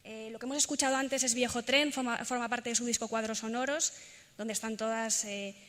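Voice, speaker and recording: A woman with a Spain Spanish accent gives an informal presentation to an audience, hesitant and pausing often with fillers. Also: fairly high pitch, fairly even intonation, a bit bright. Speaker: presenter giving a talk and demonstration. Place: large echoing indoor event space. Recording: reverberant hall, clear background noise.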